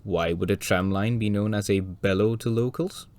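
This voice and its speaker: Hoarse, low-voiced man narrating. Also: moderate pace, very noisy. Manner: dry, wry asides. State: hoarse from a cold. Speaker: railway video presenter. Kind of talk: scripted documentary narration.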